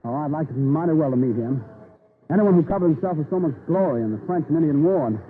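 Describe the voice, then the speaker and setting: Actor as an eager young colonial rider, animated. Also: male voice, fairly high pitch, quick pace, lightly acted. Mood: respectful, eager. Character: eager young male colonial messenger rider, polite, hurried. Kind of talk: 1930s radio acting. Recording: crackly, narrow-band 1930s radio recording.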